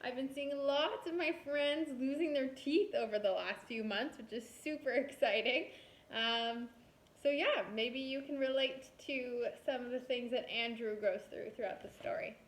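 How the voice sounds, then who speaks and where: A woman's voice: high voice, moderate pace. A woman kindergarten teacher reading a storybook aloud expressively, talking directly to young children. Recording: roomy echo.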